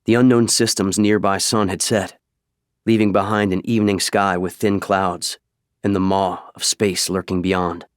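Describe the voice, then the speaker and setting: A man's voice: low-pitched, little pitch movement, moderate pace, clear, resonant tone, dry recording, studio quality. A man reading scripted fiction as an audiobook narrator.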